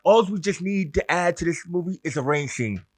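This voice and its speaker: A man co-hosting a casual movie podcast, animated and laughing often as he jokes about casting. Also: fairly high pitch, a little fast, no room sound. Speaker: podcast co-host.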